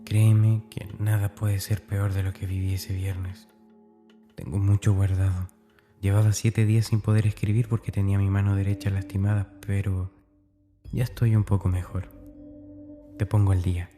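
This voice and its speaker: A man's voice: fairly low pitch, flat delivery, normal speed, music in the background, close to an everyday voice. An actor playing a tormented, fearful schoolboy, narrating his diary in a confiding, anguished, dramatic way. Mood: subdued, confiding.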